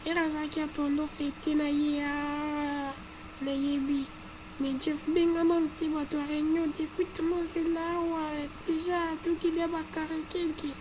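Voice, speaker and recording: Woman doing a weary nagging cartoon lady, high flat voice, repeats herself, noisy audio. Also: moderate pace, close to an everyday voice. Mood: weary, impatient. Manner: insistent, repetitive. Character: weary, nagging colleague waiting for a meeting. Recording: noisy amateur recording.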